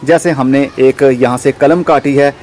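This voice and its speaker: A man's voice: medium pitch, steady tone, measured pace, a little mellow. A man hosting an informal how-to gardening video, explaining step by step to the viewer. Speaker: gardening channel host. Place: outdoors in a rooftop garden.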